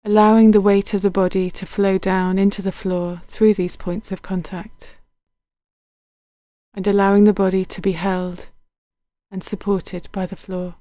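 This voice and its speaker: A woman guiding a body scan meditation in a gentle, unhurried, soothing manner, letting her phrases end softly. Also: medium pitch, flat delivery, no echo. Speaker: meditation guide.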